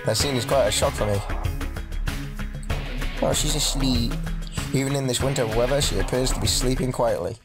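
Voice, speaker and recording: A man's voice: mid-range voice, moderate pace, pauses often. A man doing a let's play, reading visual novel narration aloud dramatically and adding casual, jokey asides. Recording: game music behind the voice.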